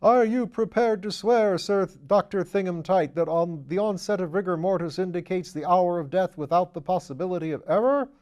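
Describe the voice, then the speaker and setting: Man doing pompous barrister, booming, declamatory, high, audiobook. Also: fairly quick, few pauses, a little mellow, close to an everyday voice. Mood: grandiose. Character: pompous male barrister cross-examining in court.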